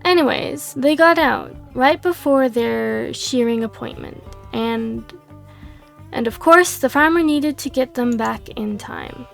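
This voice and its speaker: A woman's voice: fairly high pitch, slow pace, gravelly, soft background music. A woman reading the lines of a female monster hunter adventurer in her own plain voice, slightly losing her voice. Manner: reads dialogue aloud in her own plain voice.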